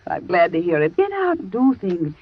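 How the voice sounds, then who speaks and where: Casting: a confident horsey young woman, teasing, animated pitch, dry old radio sound. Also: normal speed, dry recording, muffled recording. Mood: breezy. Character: brisk sporty young woman, Beverly. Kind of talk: 1940s radio acting.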